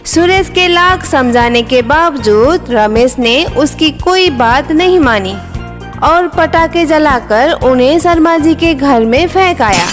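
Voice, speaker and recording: A woman's voice: high-pitched, expressive, slow pace, over music. A woman giving scripted narration for a children's story.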